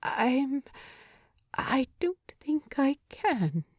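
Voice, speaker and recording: A woman's voice: high voice, sing-song, very slow, darkish tone, dry recording, clean studio sound, voice pushed up, full character voice. A woman voicing an anxious, lovelorn little male hobbit who speaks hesitantly, with small stammers, in a fantasy audiobook. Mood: hesitant, pained.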